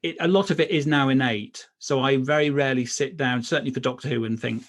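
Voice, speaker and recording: A man's voice: medium pitch, fast. A middle-aged man, a writer being interviewed, talking casually about his craft and often circling back to rephrase.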